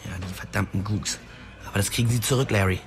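An actor playing an eager, hot-headed young gang sidekick, blunt and pushy, in slangy radio drama dialogue. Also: male voice, lowish voice, fairly even intonation, quick pace, natural voice. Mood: agitated. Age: young adult. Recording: music behind the voice.

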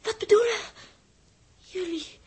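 A woman's voice: very high voice, takes it slowly. A woman acting a naive, distressed young girl stowaway in 1960s radio drama, pleading and stammering. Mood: anxious, pleading.

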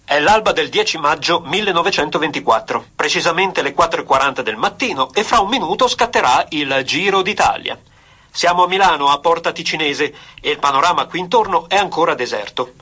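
Bright, raspy 1920s race commentator. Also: male voice, on the high side, animated, brisk, full character voice. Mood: proud, excited. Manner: declamatory, florid, emphatic. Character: excited old-time 1920s radio cycling race commentator. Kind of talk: vintage radio sports commentary.

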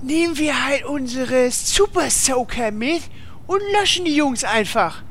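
High-pitched flippant adventurer, played by a woman. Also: unhurried, a little hiss or hum. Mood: cheeky. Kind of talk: parody radio-play acting.

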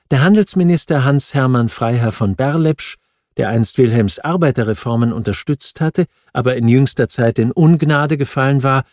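A man reads a scripted nonfiction audiobook in a measured, factual manner. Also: medium pitch, mellow, dry recording, studio quality. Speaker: audiobook narrator.

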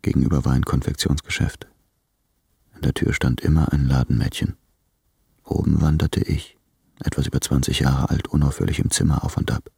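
An actor playing an anguished, self-tormenting letter-writer confessing to his lover in a literary radio drama, brooding and halting. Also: extremely low pitch, level tone, creaky, warm tone, slightly rough, no room sound, studio quality, heavily acted. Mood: brooding recollection.

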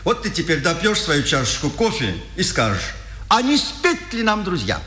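A man's voice: a little high, wildly swooping pitch, normal speed, bright, forceful tone, echoey room, full character voice. An actor playing a genial, teasing male resistance comrade in a 1950s radio drama. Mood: playful. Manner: good-natured teasing.

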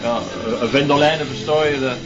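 Man playing a gruff, wary Flemish bully. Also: mid-range voice, fairly even intonation, a little fast, natural voice. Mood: awkward. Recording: dull lo-fi TV soundtrack with music. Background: music.

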